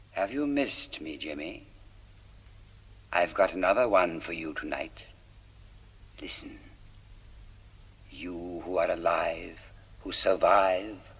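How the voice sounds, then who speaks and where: An actor playing the taunting ghost of a dead poet in a radio mystery, husky and slow, with an intimate, eerie delivery. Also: male voice, deep voice, heavily acted. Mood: incantatory. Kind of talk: radio mystery drama, eerie verse recital. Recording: long cavernous reverb.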